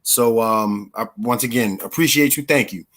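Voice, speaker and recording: A man's voice: medium pitch, moderate pace, a bit bright. American man in his thirties, mid-range voice. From Philadelphia, United States. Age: 30s. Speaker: interview guest, performer. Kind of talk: conversational personal storytelling.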